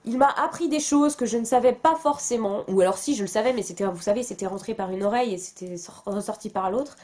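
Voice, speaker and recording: A woman's voice: on the high side, fast talker, a little mellow. A young woman from France chatting casually to camera about books, with many fillers and restarts and a self-mocking way of talking. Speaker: book vlogger.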